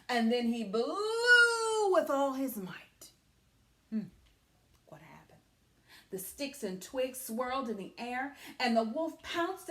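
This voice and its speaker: A woman teacher reading a story aloud to young children, with dramatic, deliberate phrasing and hushed asides. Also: high-pitched, echoey room.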